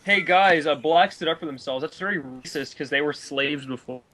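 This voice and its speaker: A man chatting casually and debating films on a voice call, with an animated, exasperated way of reacting. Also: fairly high pitch, normal speed. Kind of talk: casual chat, film debate on a group voice call.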